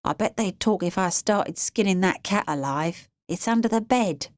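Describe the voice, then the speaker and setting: A woman's voice: mid-range voice, normal speed, no echo, close to an everyday voice. A woman voicing a small, cruel young male thug who speaks in a menacing staccato in an audiobook. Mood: scornful. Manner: staccato, sneering. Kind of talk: audiobook character voice.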